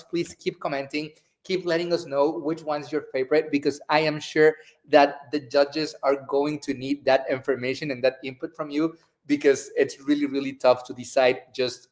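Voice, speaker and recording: A man hosting a live online event, upbeat and playful, talking straight to the viewers. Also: on the high side. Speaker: event host.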